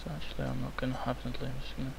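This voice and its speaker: Young guy, croaky sick voice, flat delivery, cheap mic with a bit of hiss. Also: mid-range voice, rapid-fire. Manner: rambling, many ums. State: hoarse from illness. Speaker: tutorial maker. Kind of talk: screen-recorded software tutorial. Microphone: cheap microphone.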